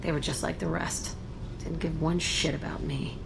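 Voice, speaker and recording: An actress playing a defiant, hardened young ex-gang convict in a video game, blunt and cynical. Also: female voice, sits low, steady tone, moderate pace, vocal fry. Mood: bitter. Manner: blunt, cocky, dismissive. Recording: noisy game ambience.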